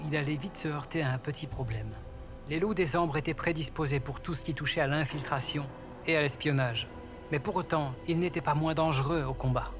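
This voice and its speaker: A man reading scripted narration for a French fantasy audio story. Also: a little high. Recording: loud music under the voice.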